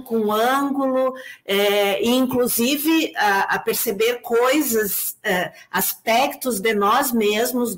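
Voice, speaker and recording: A woman's voice: fairly high pitch, a bit bright. Reflective Brazilian woman in academic discussion. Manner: reflective, hesitant, analytical, with frequent tag questions. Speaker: academic commentator on a research paper. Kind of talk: academic discussion in a live online debate.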